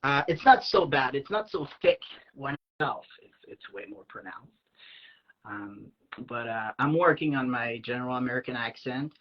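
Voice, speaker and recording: A man with a French Canadian accent, a native French speaker, telling personal stories in a casual guest chat, lively and acting out the lines he quotes, with plenty of fillers. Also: medium pitch, moderate pace, muffled recording. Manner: animated, acts out quoted lines, many fillers. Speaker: guest, aspiring actor. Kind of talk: casual storytelling.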